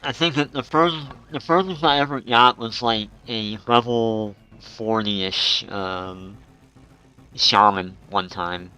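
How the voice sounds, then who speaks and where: A man's voice: on the low side, slow pace, bright, forceful tone, smooth. A man in his forties chatting casually as he streams a game, rambling and musing aloud. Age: 40s. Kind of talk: casual live-stream chat while gaming.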